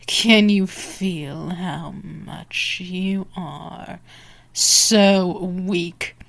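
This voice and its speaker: A woman playing a dominant, predatory female vampire in an ASMR roleplay, taunting and sultry, often slipping into breathy whispers. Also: on the low side, fairly even intonation, extremely slow, fairly bright tone, full character voice. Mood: gloating. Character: dominant, teasing, predatory female vampire stalking her prey.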